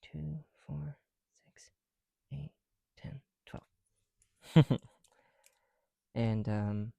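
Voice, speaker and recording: A soft-spoken man chatting casually in an ASMR style, often dropping into whispers, with a creaky voice and a rambling, halting delivery, close to the mic. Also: medium pitch. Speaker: ASMR video creator. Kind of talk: casual ASMR chat while building bricks.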